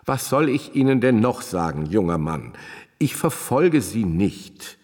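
An actor playing a distinguished, preoccupied middle-aged professor in a radio drama, with a husky voice. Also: male voice, fairly low pitch, sing-song, a little slow, darkish tone. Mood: weary, testy. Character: distinguished older Austrian professor, preoccupied, later dazed and dying. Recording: long reverberant hall with music underneath. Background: music.

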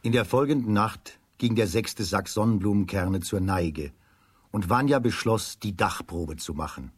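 A man narrates a children's radio tale from a script. His voice sits on the low side and has a husky grain. The sound is dry, with no room around it. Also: moderate pace, no echo.

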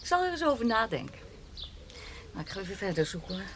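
An actress playing a worried, brisk and direct country woman in a naturalistic radio drama. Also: medium pitch, very animated. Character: worried, brisk country woman fretting over her lost cat. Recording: faint outdoor birdsong and background noise.

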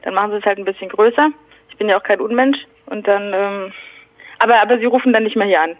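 A woman's voice: mid-range voice, quick pace, no echo. Woman on a tinny phone line. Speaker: prank call recipient, model. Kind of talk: spontaneous phone conversation. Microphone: telephone.

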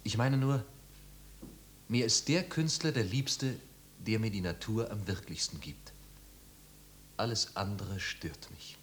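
An ardent young poet in a fifties radio drama. His low voice is lyrical and sensitive, easily heated. The old recording carries a faint noise. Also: slow pace, long pauses. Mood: fervent, dreamy. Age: young adult. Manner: impassioned, lyrical, dropping to near whispers. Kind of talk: 1950s German radio drama acting. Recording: noisy 1950s radio recording.

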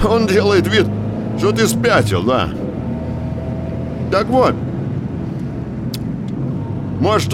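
Actor as animated, folksy small-town male gossip. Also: on the high side, close to an everyday voice. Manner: emphatic storytelling with tag questions. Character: talkative small-town man, folksy gossip and vigilant busybody. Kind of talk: audio drama dialogue. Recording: clear car noise behind the voice. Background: car engine, outdoor vehicle ambience.

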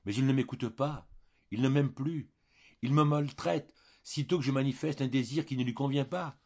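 A helpless, distressed wife of noble rank, played by a male audiobook reader, pleads in a plaintive, faltering way. The voice is pushed higher and sounds husky, on a slightly muffled recording. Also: normal speed, darkish tone, lightly acted. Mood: tearful, hurt.

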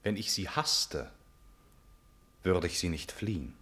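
An actor playing a proud young prince troubled by forbidden love, speaking solemn, impassioned verse in a classical radio tragedy. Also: male voice, sits low, expressive, slow, sharp tone, heavily acted. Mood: grave confession. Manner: declamatory, solemn, impassioned delivery.

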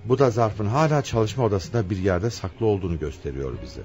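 An actor playing a shrewd, probing police inspector in a Turkish radio play, inquisitive and deliberate. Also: male voice, on the low side, lively intonation, a little mellow, soft background music, close to an everyday voice. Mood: analytical. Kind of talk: Turkish radio theatre acting.

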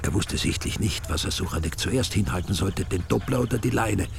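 An older man narrating a radio drama in a measured, wry way. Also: low voice, creaky. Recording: studio radio play with music.

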